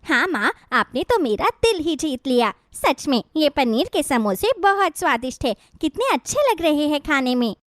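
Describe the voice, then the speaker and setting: A woman voicing an eager, sensible young village girl who talks to her mother in animated storybook dialogue. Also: sits high, normal speed, hardly any pauses, no room sound, clean studio sound. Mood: delighted.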